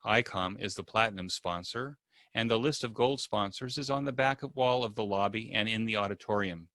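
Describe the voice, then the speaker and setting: A man giving a prepared welcome address as an event host, with formal, deliberate phrasing. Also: fairly low pitch, a bit bright, no echo, studio quality.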